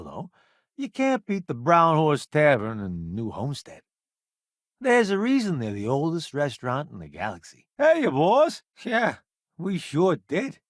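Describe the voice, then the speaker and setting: A cocky veteran journalist in a space game: slightly high voice, hugely animated pitch, wry showman flair. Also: no room sound, clean studio sound, full character voice. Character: brash, self-assured veteran food journalist turned spacefaring crewman. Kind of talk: video game companion voice lines.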